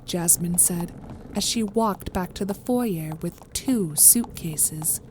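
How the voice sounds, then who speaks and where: A woman's voice: fairly low pitch, takes it slowly, darkish tone, slight background noise. A woman reading scripted narration of a horror story as the narrator.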